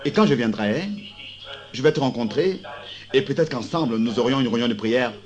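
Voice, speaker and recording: Hoarse guy preaching in a school auditorium, halting, keeps restarting. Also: mid-range voice, animated. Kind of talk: sermon.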